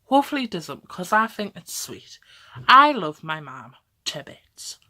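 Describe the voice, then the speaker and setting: A woman's voice: fairly low pitch, sing-song, unhurried, pushed and bright. A woman, talking casually to camera in a rambling, chatty way, often tagging on "okay?" and laughing. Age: 20s. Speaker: vlogger.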